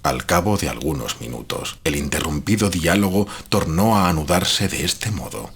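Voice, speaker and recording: Casting: male narrator for gothic horror, deep and heavily rasping, grave, literary, deliberate. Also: expressive, fairly bright tone. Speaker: storyteller.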